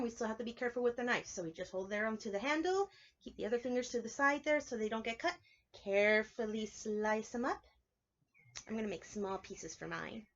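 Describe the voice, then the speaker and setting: A woman's voice: a little high, a bit bright, muffled recording. A woman preschool teacher reading a picture book aloud to young children, explaining it simply and asking them questions. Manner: instructive, simple phrasing, asks children questions.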